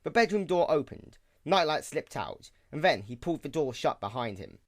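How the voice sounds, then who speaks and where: A man's voice: a little high, sing-song, moderate pace, dry recording, clean studio sound. A man reading scripted narration for an audio drama.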